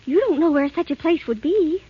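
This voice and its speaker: A woman voicing a sweet, timid young princess in an old animated film, gentle and dainty. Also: extremely high pitch, fairly quick, heavy background noise. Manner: gentle, dainty, sing-song. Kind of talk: 1930s animated film acting. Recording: dull vintage film soundtrack with music.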